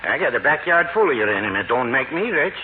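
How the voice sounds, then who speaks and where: Actor as a deadpan man, scratchy old radio. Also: mid-range voice, fast talker, narrow, tinny audio, lightly acted. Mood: deadpan, amiable. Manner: simple, folksy, deadpan. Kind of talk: 1940s radio comedy acting.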